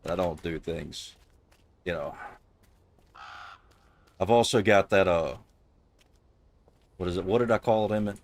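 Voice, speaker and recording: A man with a deep, gravelly voice, hosting a casual chat with friends in a joking, mock-serious way. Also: unhurried, pauses often. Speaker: streamer host.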